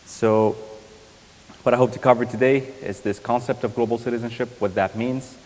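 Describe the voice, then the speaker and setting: A young man from Iraq, a surgical resident giving a university guest lecture in a thoughtful, measured way, often pausing with ums and restarting sentences. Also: medium pitch, steady tone, darkish tone. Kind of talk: academic lecture. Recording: lecture hall reverb.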